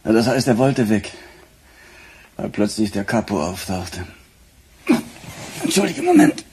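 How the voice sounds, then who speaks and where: An actor playing a weary, grumbling police chief with a husky voice in a radio crime drama. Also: male voice, lowish voice, wildly swooping pitch, a little slow, background noise. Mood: weary, subdued.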